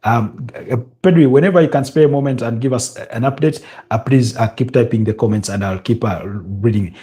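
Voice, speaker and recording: A man's voice: mid-range voice, brisk, dark tone. A man with a Ugandan accent hosting a live football watch-along, chatting casually and reading viewer comments with lots of filler sounds. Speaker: livestream host.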